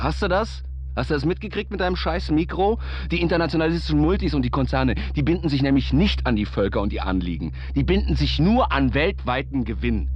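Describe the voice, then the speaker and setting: A man's voice: medium pitch, lo-fi sound, lightly acted. An actor playing an angry nationalist man who rants coarsely and pompously in a radio drama. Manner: aggressive, coarse, pompous slogans. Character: angry ranting nationalist man, hostile to the microphone. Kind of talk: radio drama monologue, angry political rant.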